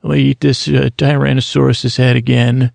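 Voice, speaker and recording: A man's voice: medium pitch, level tone, moderate pace, darkish tone, no echo. A man hosting a sleep podcast, meandering through a self-deprecating ramble meant to lull listeners to sleep. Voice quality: creaky. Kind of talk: rambling bedtime talk.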